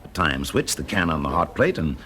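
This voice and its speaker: A British man acting a shrewd, methodical fire investigator in a radio drama, measured and politely probing with small hesitations. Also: extremely low pitch, sing-song, bright, forceful tone, full character voice. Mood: reasoning. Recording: noisy vintage radio drama recording.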